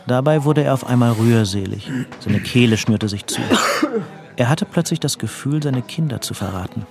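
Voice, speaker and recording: A man's voice: mid-range voice, expressive, moderate pace, gravelly, music in the background. A man narrating a literary radio drama from a script.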